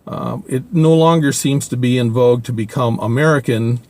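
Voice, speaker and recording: An older man talking his thoughts through to camera in a casual monologue, pausing often with fillers and restarts. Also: medium pitch, darkish tone. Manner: halting, many fillers and restarts. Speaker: video blogger.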